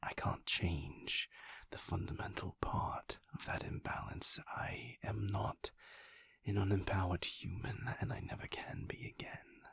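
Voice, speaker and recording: A man playing a calm, tender but guarded vampire lover in an intimate audio roleplay, speaking deliberately in a breathy, husky whisper with pauses mid-sentence. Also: sits low, heavily acted. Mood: earnest, apologetic. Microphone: close, dry microphone.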